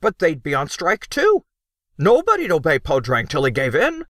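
A man's voice: fairly high pitch, wildly swooping pitch, normal speed, fairly bright tone, dry recording, studio quality, pitched above the performer's own voice. A man voicing a weary, earnest man trapped as a gnome, arguing his case. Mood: persuasive. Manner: earnest, reasoning.

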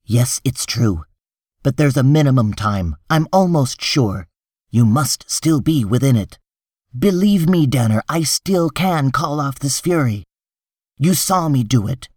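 A man's voice: medium pitch, lively intonation, unhurried, a little mellow, no echo, clean studio sound. A man voicing an irritable, defensive official in a story reading, insistent and exasperated. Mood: urgent, reassuring. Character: tense, defensive, irritable male official making excuses.

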